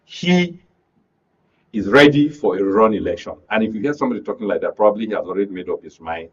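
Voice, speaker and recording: A Nigerian man gives political analysis as a radio studio guest. His voice is husky and swings widely in pitch as he makes points emphatically and deliberately. The sound is slightly dull. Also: animated.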